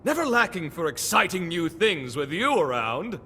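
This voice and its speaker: A man's voice: a little high, very animated, normal speed, sharp tone, a little hiss or hum. An actor playing a flamboyant, centuries-old nobleman in a video game, with a theatrical, courtly and pompous delivery. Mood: courtly, delighted. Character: flamboyant, noble, centuries-old immortal man, grandiose and devoted. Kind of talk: video game character acting.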